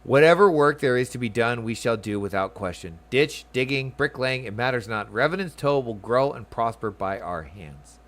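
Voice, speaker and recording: A man's voice: mid-range voice, expressive, moderate pace, few pauses, a bit bright, lightly acted. A man voicing a dutiful, earnest son from a fantasy game, formal and resolute.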